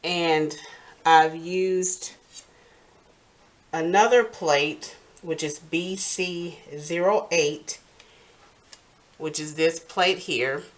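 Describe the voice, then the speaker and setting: A woman hosting a nail art tutorial in casual, step-by-step talk, halting and pausing mid-sentence. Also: sits low.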